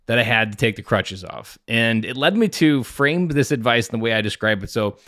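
A man's voice: mid-range voice, lively intonation, a little fast, fairly bright tone, no echo, clean studio sound. A man hosting a podcast, talking casually and earnestly about his own experience. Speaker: podcast host.

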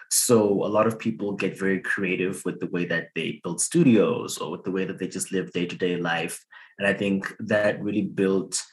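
A man's voice: low-pitched, rough recording. A man, answering interview questions in an easygoing way with many fillers. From Zimbabwe. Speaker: guest, hip hop artist.

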